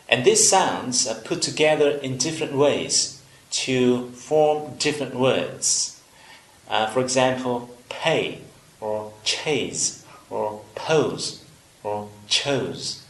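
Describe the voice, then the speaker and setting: A young man with a Vietnamese accent, a native Vietnamese speaker teaching English in a careful, deliberate video lesson. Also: mid-range voice, a bit bright, reverberant, poor audio. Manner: careful, deliberate explanation with pauses and fillers. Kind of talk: slow instructional video lesson.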